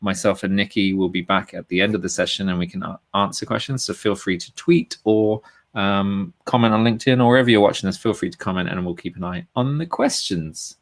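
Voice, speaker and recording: A man's voice: deep voice, a little fast, no echo. A man co-hosting a webinar, chatty and joking with many fillers. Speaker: co-host of webinar. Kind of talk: casual webinar presenting.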